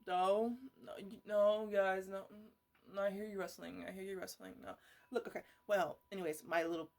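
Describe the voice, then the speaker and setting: A woman playing an eccentric, defensive botanist in an ASMR roleplay, rambling haltingly and repeating herself as she fusses over her plants. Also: mid-range voice, fairly even intonation, natural voice. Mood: playfully scolding. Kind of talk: ASMR roleplay, casual improvised chatter to plants.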